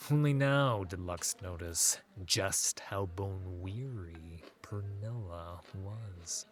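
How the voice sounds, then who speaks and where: A man narrating a scripted fantasy audiobook in a measured, dramatic storytelling manner. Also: low voice.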